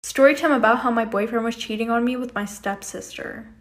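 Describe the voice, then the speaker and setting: Young woman, slightly high pitch, casual storytime, blunt, colloquial. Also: fairly quick. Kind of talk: casual social-media storytime.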